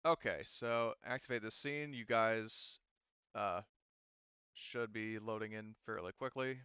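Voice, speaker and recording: A man acting as game master for an online tabletop roleplaying session, talking haltingly and often muttering to himself as he sets things up. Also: lowish voice, sharp tone, smooth, dry recording, highs cut off.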